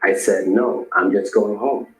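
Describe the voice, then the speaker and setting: A man plays a young man in his twenties with a somewhat low voice. He reads a scripted account in a plain, even way. There is an echoey room and faint music behind him. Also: measured pace, close to an everyday voice. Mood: somber. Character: young man of twenty-six, shot in the street. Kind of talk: scripted first-person testimony.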